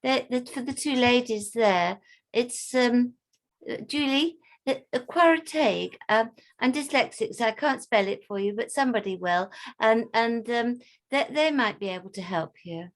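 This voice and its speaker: Woman, video call, higher voice, halting, searching for words. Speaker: workshop participant. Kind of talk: online group discussion.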